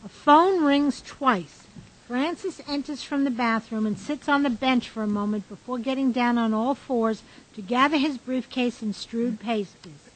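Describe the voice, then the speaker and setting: A woman with a high-pitched voice narrates a radio play. She reads out the stage directions as scripted narration. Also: measured pace, low-quality recording.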